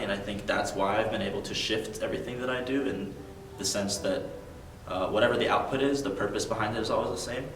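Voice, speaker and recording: Young male interviewee, earnest and reflective, fillers, low-set voice, recorded at a distance. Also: roomy sound, background noise. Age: young adult. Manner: earnest, thoughtful, many ums and likes. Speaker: interview guest.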